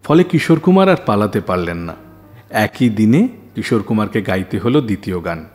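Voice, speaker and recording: A man's voice: on the low side, big pitch swings, moderate pace, a little mellow. Man hosting a radio music show. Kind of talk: scripted storytelling narration for a music radio show.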